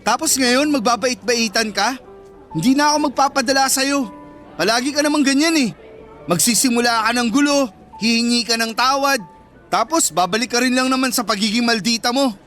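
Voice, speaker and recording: A very high-voiced, fed-up teenage boyfriend in a Filipino radio drama. He argues emphatically and scolds, exasperated yet protective, with faint music underneath. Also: a little fast, bright, forceful tone. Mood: weary, resolute.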